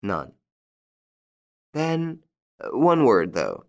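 A man narrating an audiobook and voicing a calm, mocking gentleman thief facing death. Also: mid-range voice, drawn-out words, pitched above the performer's own voice, exaggerated voice. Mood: dry calm. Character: wry, unflappable gentleman thief, male adult.

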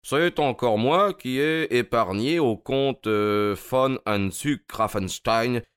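Un homme qui joue, pour un livre audio, un détective anglais d'âge mûr, suave, courtois et moqueur. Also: male voice, medium pitch, clear, resonant tone, dry recording, studio quality, pitched above the performer's own voice, natural voice. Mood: proud. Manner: amused, courteous, drawn-out mocking delivery. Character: suave, wry older English detective, gloating politely.

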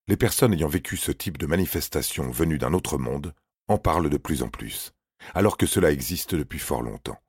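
A man's voice: very deep voice, a little mellow, husky, dry recording, clean studio sound. A man narrating scripted paranormal stories in a grave, suspenseful, dramatic manner. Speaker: narrator of a paranormal true-story podcast.

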